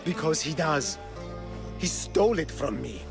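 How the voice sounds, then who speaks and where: An actor playing a guilt-ridden, gentle old Greek man in a video game cutscene, grave and halting. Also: fairly high pitch, lively intonation, creaky. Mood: grave, regretful. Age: older. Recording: loud music behind.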